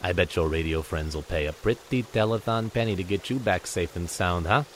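A sneering kidnapper, played by a man, taunts his captive in a low, mocking voice. His threats come out smug rather than loud. Faint outdoor noise sits under the recording. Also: a little fast. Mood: taunting. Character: sneering male kidnapper, adult, menacing.

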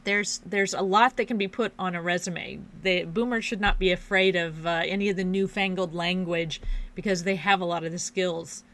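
A woman's voice: fairly low pitch, steady tone, moderate pace, fairly bright tone. A woman hosting a podcast, introducing her guest and asking questions in an upbeat, encouraging way. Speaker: podcast host. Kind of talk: podcast interview.

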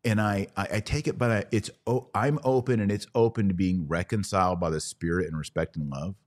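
A man's voice: lowish voice, brisk. A man hosting a podcast, giving conversational religious commentary in an emphatic, argumentative way with rhetorical questions. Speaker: podcast host.

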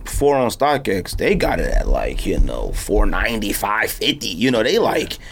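A man's voice: on the low side, very animated, measured pace, gravelly, slight background noise. A man hosting a podcast, talking in a casual, slangy, conversational way. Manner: informal slangy talk. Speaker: podcast host. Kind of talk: casual sneaker podcast chat.

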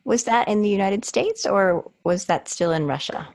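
A woman hosting a podcast, reading a scripted introduction and asking measured interview questions. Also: medium pitch, lively intonation, a little mellow. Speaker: podcast host.

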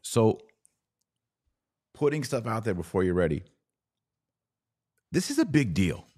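A middle-aged American man with a fairly low voice and a very slow, measured pace. He argues like a commentator, pressing points emphatically and posing rhetorical questions. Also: very animated, darkish tone, husky. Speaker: podcast host. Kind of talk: opinion commentary on played clips.

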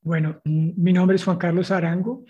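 A man's voice: high-pitched, flat delivery, normal speed, warm tone, breathy edge. A middle-aged man with a Colombian accent, introducing himself conversationally as an interview guest. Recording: dull lo-fi video call audio.

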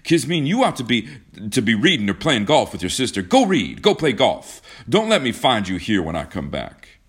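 A man voicing a stern, wealthy father in a storybook reading, gruff, demanding and disapproving. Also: medium pitch, huge pitch swings, moderate pace, pitched above the performer's own voice. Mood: stern disapproval.

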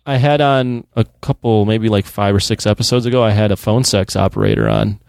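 A man's voice: fairly low pitch, animated, a little fast, vocal fry, darkish tone, smooth, dry recording, clean studio sound. A man in his thirties hosting a podcast, laid-back and chatty, laughing often. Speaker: podcast host. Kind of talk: casual chat.

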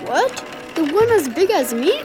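A woman's voice: very high-pitched, sing-song, normal speed, over music. A woman playing a cheeky, eager young street boy in a radio drama, with a pert, incredulous manner. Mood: pert, amazed.

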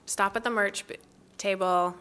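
A woman's voice: medium pitch, fairly even intonation, moderate pace, fairly bright tone, clear, resonant tone. A woman being interviewed as an event organizer, chatting informally and with enthusiasm. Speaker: festival organizer, interview guest. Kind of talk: casual conversational interview.

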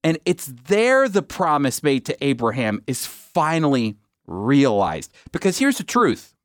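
A man's voice: a little high, huge pitch swings, measured pace, dry recording, studio quality. Emphatic man teaching the Bible. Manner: emphatic, rhetorical questions.

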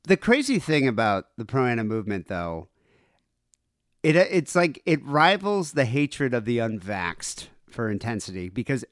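A man's voice: medium pitch, very animated, measured pace, no echo, clean studio sound. A man co-hosting a casual comedy podcast, reading items aloud and laughing often. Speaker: podcast co-host.

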